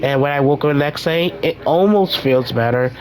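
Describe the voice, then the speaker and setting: A young man hosting a casual gaming vlog, rambling with many restarts while his voice recovers from being hoarse. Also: fairly high pitch, moderate pace, background noise. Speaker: gaming channel host.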